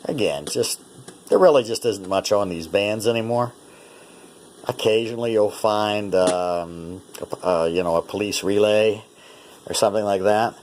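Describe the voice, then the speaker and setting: A man's voice: lowish voice, unhurried, darkish tone. A North American man giving a casual, unscripted product demonstration, thinking aloud in a plain-spoken, conversational way. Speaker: presenter demonstrating a vintage radio.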